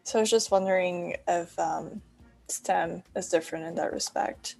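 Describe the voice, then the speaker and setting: A woman's voice: on the low side, fairly even intonation, moderate pace, creaky, warm tone, faint music behind. A young woman, a university student, putting her thoughts to others in a casual podcast discussion. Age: young adult.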